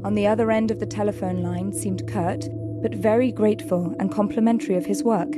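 A woman reading scripted narration for an audio drama. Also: medium pitch. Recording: music under the voice. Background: music.

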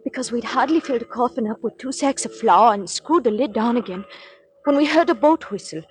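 Actress doing anxious young lady in a 40s radio play, highish voice, halting, earnest, kinda pleading. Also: close to an everyday voice. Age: young adult. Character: earnest, anxious, obstinate young woman rescued from danger. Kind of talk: 1940s radio acting.

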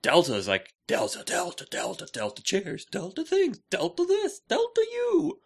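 Excitable, animated man bantering. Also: high-pitched, normal speed, gravelly. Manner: excitable, slangy, goofy storytelling. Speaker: podcast co-host. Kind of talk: casual banter.

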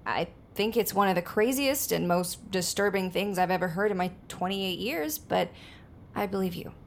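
A woman's voice: mid-range voice, animated, moderate pace, vocal fry, slight background noise. An actress playing a frank, playful woman in her twenties in naturalistic audio drama dialogue. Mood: earnest, reassuring. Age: 20s. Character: frank, quirky twenty-eight-year-old woman, a drummer.

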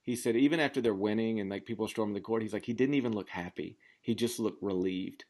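A man's voice: fairly low pitch, quick pace, a little mellow. An American man in his thirties hosting a sports podcast in casual chat, rambling emphatically and leaning on filler words like "like". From Memphis area, southern United States. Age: 30s. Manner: rambling, emphatic, says "like" constantly.

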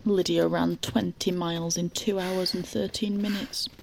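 A deadpan female storyteller in an audio fiction. Her voice sits low and has a gravelly fry. There is faint noise behind her. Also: normal speed. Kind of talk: scripted narration.

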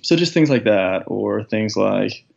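A man in his twenties, a guest in an interview, speaking in a casual, reflective way with a deep, raspy voice. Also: expressive, a little slow, a little mellow. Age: 20s. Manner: reflective, thoughtful, many fillers. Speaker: guest, professional soccer player. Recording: dull lo-fi sound.

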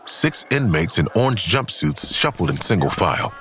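An actor serving as the storytelling narrator of a suspense audio drama, reading scripted scene descriptions. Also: male voice, lowish voice, big pitch swings, normal speed, fairly bright tone. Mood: suspenseful.

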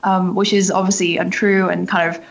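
Husky woman explaining, lo-fi audio. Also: fairly low pitch, little pitch movement, moderate pace. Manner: hedging, filler-heavy explanations. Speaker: guest journalist. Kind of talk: interview explanation. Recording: dull, lo-fi audio.

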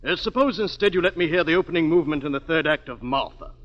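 An actor playing a polite but firm opera orchestra maestro, formal and measured, in a 1940s radio drama. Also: male voice, a little high, expressive, close to an everyday voice. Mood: formal, regretful. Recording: dull old 1940s radio broadcast, long reverb.

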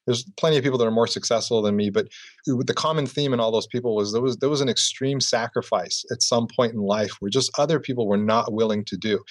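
A man being interviewed about his business career, talking reflectively and filling pauses with "you know.". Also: mid-range voice, no echo, clean studio sound. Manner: reflective, repeats "you know" often, restarts phrases. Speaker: entrepreneur guest. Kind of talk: casual interview answers.

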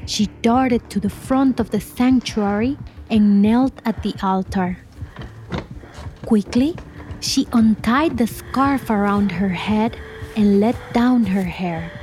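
Woman narrator for a life story: unhurried, deliberate read, mid-pitched, faint music underneath. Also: darkish tone. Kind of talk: scripted children's biography narration.

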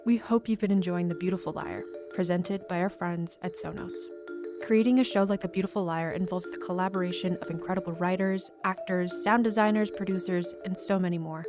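A woman's voice: lowish voice, steady tone, fairly quick, music in the background. A woman reading a scripted podcast sponsor ad as its announcer. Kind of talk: scripted ad read.

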